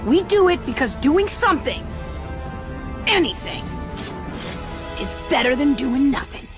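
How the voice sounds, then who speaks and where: A woman's voice: high voice, very animated, a little slow, music in the background. An actress playing a tough, determined young woman, firm and feisty, in a video game cutscene. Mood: resolute.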